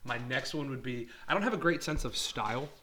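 A young man chatting on a podcast in a quick, rambling way. His voice is bright and forward, and he keeps joking at his own expense. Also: mid-range voice, animated, slight background noise. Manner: joking, rambling, laughs at himself. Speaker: podcast co-host. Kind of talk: casual chat.

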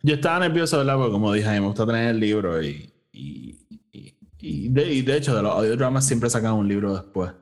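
Podcast host, male, slightly deep voice, relaxed bilingual review chat. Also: fairly quick. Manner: mixes English phrases into Spanish.

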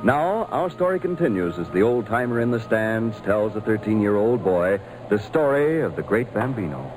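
American radio announcer over loud music. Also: male voice, on the low side, very animated, moderate pace, rough recording. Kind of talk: 1940s radio announcing, scripted narration. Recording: old radio broadcast with music.

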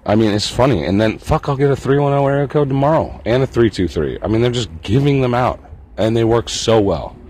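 A man's voice: medium pitch, animated, fairly quick, darkish tone. A man vlogging in a meandering, profane, self-mocking monologue. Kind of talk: rambling casual monologue.

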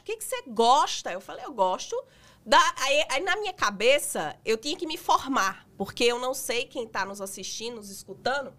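A woman in her thirties with a Northeastern Brazilian accent, slightly hoarse today, telling her story as a guest in a podcast interview. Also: sits high. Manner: lively, chatty storytelling with laughter. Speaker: guest, makeup artist.

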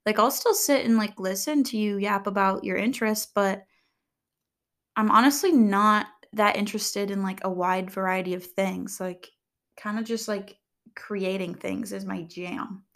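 A woman's voice: mid-range voice, a little slow, gravelly. Chatty young woman reading a quiz aloud. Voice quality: calm, soft. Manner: chatty, rambling, filler-heavy, self-deprecating humor. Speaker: vlogger. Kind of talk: casual chat, reading quiz questions aloud.

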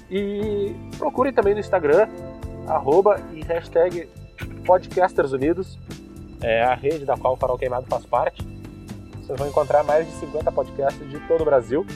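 A young man with a southern Brazilian Gaúcho accent who rambles loosely as a podcast host, full of slang and fillers. His voice sits a bit high. He talks from inside his car while faint music plays somewhere behind him. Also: darkish tone. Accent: Gaúcho Brazilian Portuguese. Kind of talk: casual rambling monologue.

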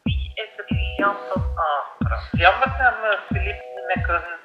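A woman's voice: mid-range voice, animated, normal speed. A woman chatting casually over the phone. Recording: dull, echoey phone call over music.

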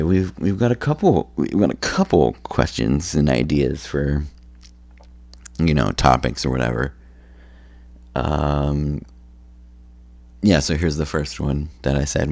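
A tired, phlegmy man hosting a solo podcast, talking slowly and rambling with many ums and restarts. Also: darkish tone, no echo. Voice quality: gravelly, low voice. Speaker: podcast host.

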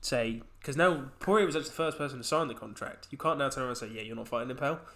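A man's voice: medium pitch, racing, a bit bright. Man with a cold, hosting. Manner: chatty, reads out quotes in a punchy voice. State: has a cold. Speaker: podcast host. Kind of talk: casual chat.

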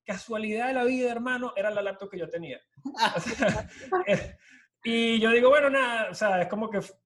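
Talkative venezuelan guy on a video call, bit high voice, slips english words in. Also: fairly quick, fairly bright tone. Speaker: startup co-founder guest. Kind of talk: casual storytelling interview.

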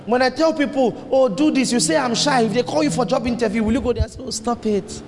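A man preaching a sermon as pastor, emphatic, his voice jumping up into high exclamations. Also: quick pace. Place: church hall. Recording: long hall reverb, faint noise.

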